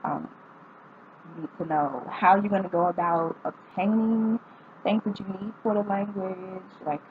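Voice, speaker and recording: A woman's voice: medium pitch, steady tone, a little slow, phone-quality sound, slight background noise. A woman in her twenties from North Carolina, vlogging casually as she reads out a list of questions and answers each one with frequent ums. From North Carolina, United States. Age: 20s.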